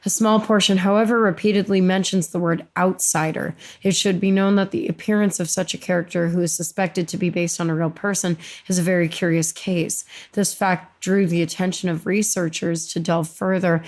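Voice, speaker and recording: A young adult woman co-hosting a game playthrough, reading long lore passages aloud with steady pacing and the odd slip she corrects. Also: fairly low pitch. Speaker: co-host of a let's play channel. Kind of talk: reading game text aloud and casual chat.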